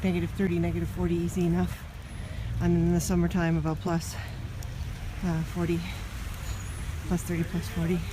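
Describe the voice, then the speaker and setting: A woman's voice: lowish voice, monotone, normal speed. Chatty woman on a street. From Toronto, Canada. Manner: chatty, upbeat, talking to camera while walking. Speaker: vlogger. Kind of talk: casual walking vlog. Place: snowy city street outdoors. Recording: outdoor street and traffic noise. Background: traffic.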